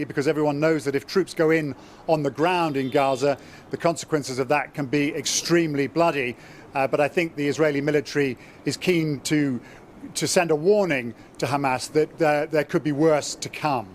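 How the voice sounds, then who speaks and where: Guy correspondent reporting live, measured, um-ing a bit, slightly high voice, faint noise behind. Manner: conversational, measured, with fillers. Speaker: diplomatic correspondent.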